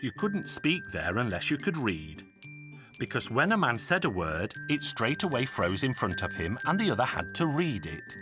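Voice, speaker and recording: A man's voice: mid-range voice, sing-song, moderate pace, lightly acted. Cartoon folk-tale narrator, male actor: boastful tall-tale hero, earnest and theatrical, wildly varied intonation, music underneath. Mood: wry, animated. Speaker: storyteller. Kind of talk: animated folk-tale storytelling.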